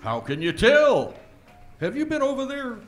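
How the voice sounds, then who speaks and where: A man's voice: very high-pitched, huge pitch swings, unhurried, soft background music, exaggerated voice. A man voicing a folksy, teasing small-town sheriff who flirts.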